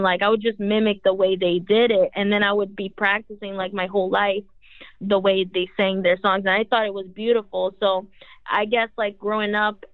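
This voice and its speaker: A woman's voice: medium pitch, no echo. A young woman, a singer whose first language is Portuguese, answering interview questions in a rambling way with constant "like" fillers. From Brazil. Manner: rambling, heavy use of "like". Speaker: singer, guest. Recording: tinny phone-like audio.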